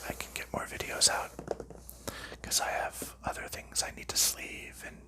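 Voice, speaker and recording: A man hosting an ASMR video in a soft unvoiced whisper, calmly narrating each step as he packs up a board game, with relaxed fillers. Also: normal speed. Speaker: ASMR channel host.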